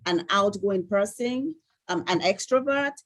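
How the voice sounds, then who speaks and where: A woman from Sierra Leone with a Sierra Leonean accent, giving a measured, articulate self-introduction as an online workshop participant. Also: medium pitch, lively intonation. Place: online video call.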